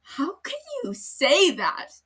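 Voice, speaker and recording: A woman voicing an earnest young male detective who is appalled and pleading, in a higher voice than her narration. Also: huge pitch swings, takes it slowly, fairly bright tone, heavily acted. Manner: pleading, appalled, raised pitch. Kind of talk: podfic character reading.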